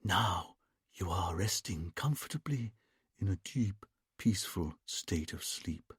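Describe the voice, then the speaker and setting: A man voicing a vain male hypnotist who murmurs softly and soothingly to a woman in a trance. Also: medium pitch, huge pitch swings, vocal fry, husky, no echo, clean studio sound, full character voice. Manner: soft, slow, soothing murmur.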